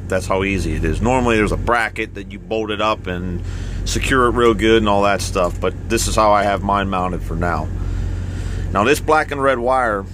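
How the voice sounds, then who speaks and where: A man with a fairly low voice talks through his gear in a casual, plainspoken way, like a trucker showing how things work. He goes step by step and repeats words to stress a point. The recording is noisy, with a steady vehicle and air-conditioning hum inside a truck cab. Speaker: truck driver explaining his radio gear. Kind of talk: casual hands-on equipment walkthrough.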